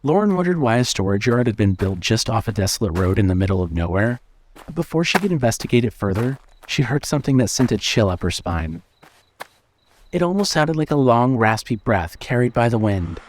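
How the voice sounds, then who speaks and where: A man narrating a scripted horror story in a measured, suspenseful way. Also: mid-range voice, sing-song, a little hiss or hum.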